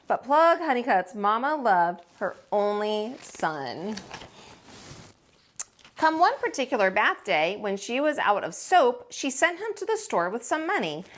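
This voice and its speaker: A woman with a fairly high voice reads a children's story aloud. Her pitch swings widely as she leans into folksy phrasing. She gives dramatic weight to key words, like a teacher at storytime. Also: unhurried. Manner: folksy, expressive, dramatic emphasis on repeats. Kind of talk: animated read-aloud storytelling.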